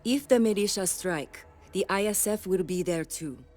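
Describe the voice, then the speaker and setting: An actress playing a composed female military intelligence advisor in a video game, speaking in a measured, formal, explanatory way. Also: mid-range voice, lively intonation, a little mellow, soft background music, natural voice. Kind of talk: video game cutscene acting.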